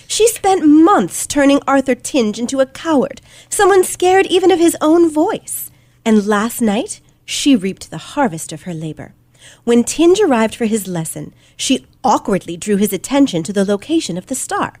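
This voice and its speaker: Give the part of a sharp, self-assured teenage girl detective to a woman actor in an old radio mystery. The voice sits fairly high and swings widely for dramatic emphasis. Every phrase is precise and theatrical, in a dry recording. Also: very animated, moderate pace, no room sound. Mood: explaining, relishing. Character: teenage girl detective, confident, sharp, relishing her explanation. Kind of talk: old-fashioned radio play acting.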